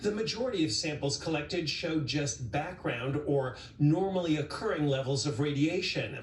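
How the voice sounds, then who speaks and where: Man, investigative news reporter, fairly high voice, deliberate emphatic scripted narration, distant echoey recording. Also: animated. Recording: roomy, distant sound with faint noise.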